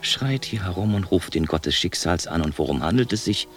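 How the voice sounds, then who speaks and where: A man's voice: deep voice, expressive, brisk. An actor playing a grumpy, imperious god of fate in a radio play, pompous and scolding in his speech. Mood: irritated, scornful.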